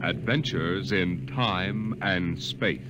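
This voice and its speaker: A man narrating and announcing a 1950s radio drama in a grave, measured, dramatic style. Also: low voice, very noisy. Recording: dull 1950 radio recording with loud music.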